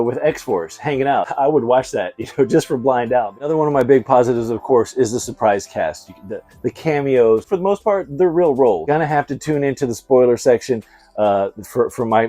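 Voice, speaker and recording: Man, mellow tone, slangy and effusive, casual review talk, dry close sound. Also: medium pitch, no echo. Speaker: video host, movie reviewer.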